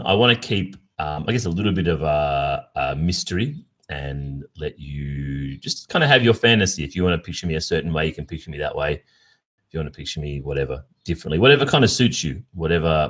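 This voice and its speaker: An Australian man hosting a casual livestream Q&A, chatting informally and reflectively. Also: rumbling low. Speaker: ASMR roleplay creator and livestream host.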